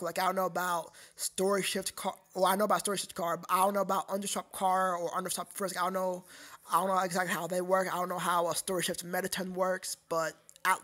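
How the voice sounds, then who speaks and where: Casual livestream host, medium-pitched voice, halting and repetitive delivery, talking directly to chat. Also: fairly bright tone. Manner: halting, repetitive, addresses viewers as sir.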